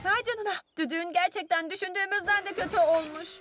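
Very high, exclamatory cartoon vehicle. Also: female voice, fast talker, very noisy. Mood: amazed. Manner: animated, exclamatory. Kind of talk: animated children's cartoon dub. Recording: music behind the voice.

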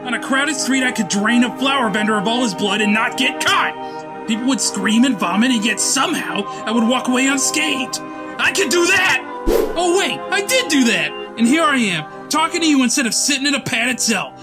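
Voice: sits high, expressive, moderate pace, music in the background. A performer voicing a theatrical, self-important, deranged young man who rants manically in a comic dub. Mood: manic, defiant. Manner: theatrical ranting, bursts into shouts. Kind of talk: dramatic comic dub voice acting.

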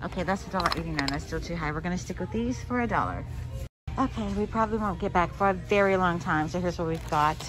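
A woman's voice: fairly low pitch, fast, over music, poor audio. Chatty woman vlogging a grocery run. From American South. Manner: chatty, upbeat, thinking aloud. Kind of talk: casual shopping vlog chat. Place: discount grocery store, indoors.

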